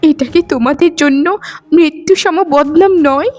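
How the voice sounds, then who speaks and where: A woman's voice: high voice, moderate pace, darkish tone, soft background music, lightly acted. A woman voicing a meek, rejected young village daughter in a melodramatic cartoon, speaking in a plaintive, pleading way. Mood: pleading, sorrowful.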